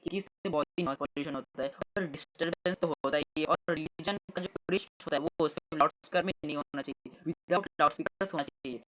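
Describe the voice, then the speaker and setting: A man with an Indian accent presenting a news update, reading and explaining in an informal, halting way that mixes Hindi and English. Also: on the high side, a bit bright, poor audio.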